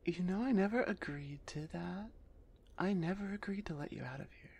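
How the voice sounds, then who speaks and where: A woman voicing a big, gruff female minotaur executioner with grudging, teasing menace in an ASMR roleplay. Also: fairly low pitch, moderate pace, a little mellow, a little hiss or hum. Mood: suspicious, relenting.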